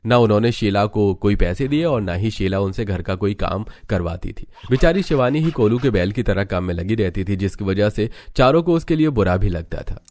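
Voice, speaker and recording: A man with a fairly low voice narrates a storybook tale. He reads from a script as the storyteller of a cartoon. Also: expressive, fairly quick, few pauses, a little mellow, no echo.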